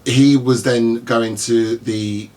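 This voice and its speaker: A man hosting a podcast in casual chat, agreeable and quick to chime in with "yeah.". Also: mid-range voice, fairly even intonation, a little mellow. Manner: agreeable, constant "yeah" backchannels. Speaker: podcast host.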